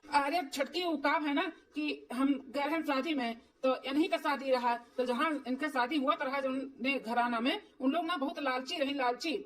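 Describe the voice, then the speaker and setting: An earnest young husband and dutiful stepson, played by a woman, speaks in a high voice with an Awadhi accent. He explains himself pleadingly in cartoon dialogue, sounding a little away from the mic. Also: moderate pace, distant mic, close to an everyday voice, low-quality recording.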